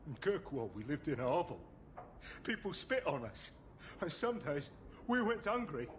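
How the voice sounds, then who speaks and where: A high-pitched, pleading peasant. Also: male voice, very animated, moderate pace, a little hiss or hum. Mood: sorrowful. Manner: pleading, deferential, folksy rustic grammar. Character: desperate, humble male refugee miner, meek and pleading. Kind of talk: video game cutscene acting.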